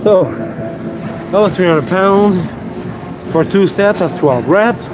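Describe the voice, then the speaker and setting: A young Quebec French man with a fairly high voice chats slowly and casually on a live stream. He grunts between sets at the gym while music plays clearly behind him. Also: lively intonation, poor audio. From Quebec, Canada. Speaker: livestreamer. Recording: music playing in the background.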